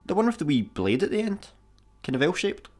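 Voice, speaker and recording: An actor with a Scottish accent playing a daft, easygoing janitor in a sci-fi comedy radio play, casual and obtusely deadpan. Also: male voice, fairly high pitch, moderate pace. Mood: casual, flippant. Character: daft, easygoing, literal-minded male slacker janitor.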